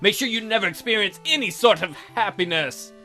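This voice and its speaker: A man voicing an angry masked vigilante from a visual novel, making crude, forceful threats. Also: high voice, animated, fairly quick, pitched above the performer's own voice. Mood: menacing, angry. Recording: background music under voice.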